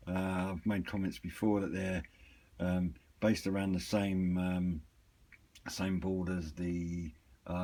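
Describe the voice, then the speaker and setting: A man giving a casual, unscripted product walkthrough in a hesitant, rambling, matter-of-fact way, with a slightly husky voice. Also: lowish voice, a little mellow. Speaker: hobbyist reviewer and seller of used CB radios.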